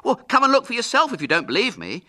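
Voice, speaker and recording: A man voicing an excitable, mischievous schoolboy who calls out loudly in a children's story. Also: sits high, a little fast, pitched above the performer's own voice. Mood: goading. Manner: calling out loudly. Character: excitable, mischievous schoolboy playing along with a prank.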